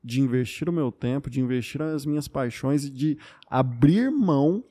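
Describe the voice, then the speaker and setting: A young man with a Brazilian Portuguese accent, co-hosting a casual podcast chat and telling stories in an animated, reflective way, often repeating himself. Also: medium pitch, normal speed. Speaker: podcast co-host.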